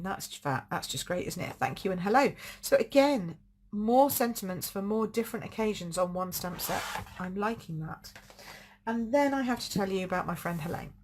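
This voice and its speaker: A slightly croaky woman, gushing chattily over craft supplies on a home live stream. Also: medium pitch, lively intonation, moderate pace. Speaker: card-making demonstrator hosting a live stream. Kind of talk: casual live-stream show and tell.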